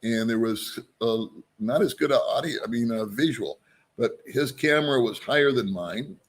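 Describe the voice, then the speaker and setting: A man's voice: mid-range voice, moderate pace. A middle-aged man hosting a casual live stream, joking at his own expense and greeting viewers by name.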